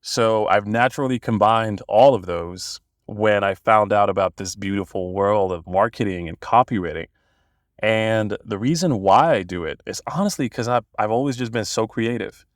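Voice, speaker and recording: Bosnian man, low voice, dry sound. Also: normal speed, dry recording. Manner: enthusiastic, storytelling. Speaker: guest, copywriter. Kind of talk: podcast interview.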